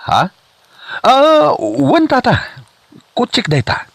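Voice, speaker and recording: A man's voice: very high-pitched, big pitch swings, slow pace, vocal fry, a bit bright, breathy edge, dry recording, exaggerated voice. A man playing an eager, nervous and boastful suitor in an Ilocano comedy drama, with an Ilocano accent. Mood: nervous, polite.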